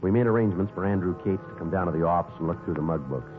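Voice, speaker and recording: A man playing a terse, methodical police detective in a 1950s radio drama, clipped and deadpan as he questions and narrates. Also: low-pitched, racing, phone-quality sound, over music, close to an everyday voice. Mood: dry, factual. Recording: thin 1950s radio recording.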